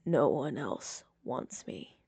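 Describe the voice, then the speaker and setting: A woman voicing a wounded, withdrawn young man in an audiobook, with a weak, breathy murmur and halting delivery. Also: very deep voice, animated, warm tone, no echo, pitched below the performer's own voice, heavily acted.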